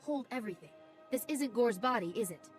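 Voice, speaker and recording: A woman voicing a confident, brisk young gnome-like engineer agent in a video game, assertive and businesslike. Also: on the high side, lively intonation, natural voice. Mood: urgent. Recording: game music under the voice.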